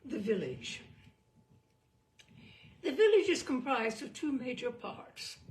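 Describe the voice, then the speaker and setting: A woman reading a humorous book aloud as a storyteller, in a deliberate, theatrical and wry manner. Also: mid-range voice, gravelly. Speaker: reader of humorous book selections. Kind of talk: dramatic storytelling read-aloud. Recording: distant microphone.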